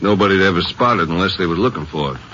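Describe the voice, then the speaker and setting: An actor playing a plain-spoken, laconic Texas Ranger's partner with folksy speech, in old radio western style. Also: male voice, low-pitched, fast talker, sharp tone. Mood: alert. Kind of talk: 1950s radio drama acting. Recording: dull, noisy vintage radio recording.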